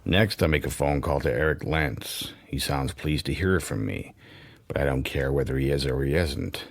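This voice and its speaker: A man's voice: a bass voice, normal speed, vocal fry, exaggerated voice. An actor with an American accent playing a controlled, determined, secretive man who also narrates a radio drama. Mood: detached, brooding. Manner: controlled, insistent. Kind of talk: radio drama acting with first-person narration.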